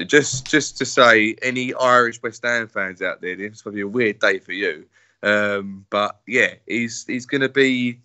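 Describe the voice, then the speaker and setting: A man's voice: fairly low pitch, sharp tone, no echo. A man from England co-hosting a casual football podcast, rambling and restarting his sentences. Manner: rambling, full of fillers and restarts. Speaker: podcast co-host.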